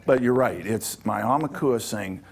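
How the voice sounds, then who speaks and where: A man's voice: lowish voice, normal speed, gravelly. A man giving an informal public lecture to an audience in a hall, talking conversationally and checking in with "okay?" as he goes. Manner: conversational, adds checks like "okay?" to his points. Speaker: lecturer on ancient Egyptian spirituality.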